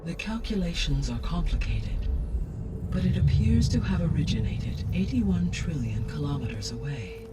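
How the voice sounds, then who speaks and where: An actor voicing a calm, formal artificial intelligence in a video game, precise and measured in his explanations. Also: fairly high pitch, recorded from a distance, very noisy. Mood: grave, explanatory. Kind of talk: video game dialogue, expository. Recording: music playing behind the voice.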